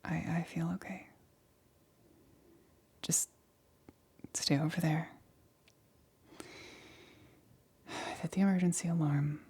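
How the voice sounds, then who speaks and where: A woman's voice: sits low, vocal fry, mellow, husky, exaggerated voice. A woman playing a shaken, awkward but reassuring lab scientist in an ASMR roleplay, whispering breathily with a hesitant stammer. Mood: nervous, reassuring.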